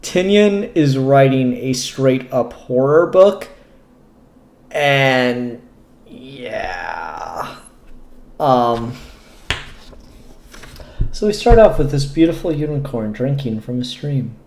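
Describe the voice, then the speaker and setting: A man's voice: mid-range voice, lively intonation, slow, gravelly, long pauses, a little mellow, a little hiss or hum. A man hosting a casual, unscripted review vlog, talking in a candid, rambling way with the odd swear word. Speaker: comic book reviewer, show host. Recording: echoey room.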